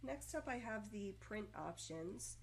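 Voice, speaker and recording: A woman reads a scripted code walkthrough slowly, in a mid-range voice. She stops often with long pauses, repeats words and over-enunciates each consonant as she pushes through a stutter. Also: mid-range voice, expressive, slight background noise. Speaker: software tutorial narrator. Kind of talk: scripted screencast narration.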